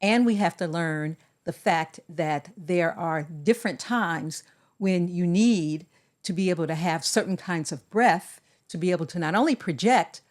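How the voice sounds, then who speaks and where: A woman co-hosting a podcast, speaking in a measured, deliberate way as she gives advice in casual conversation. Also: on the low side. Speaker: podcast co-host.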